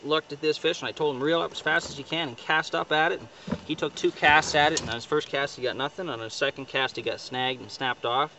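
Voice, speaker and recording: A man's voice: on the high side, steady tone, fairly quick, pushed and bright. A young man in a boat on a lake outdoors, chatting casually to the camera about his catch, upbeat and full of fillers. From northern Ontario. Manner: chatty, upbeat, fillers like right and you know. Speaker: fishing guide showing a catch. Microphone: camera held by a companion a few feet away. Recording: distant outdoor camera audio with noise.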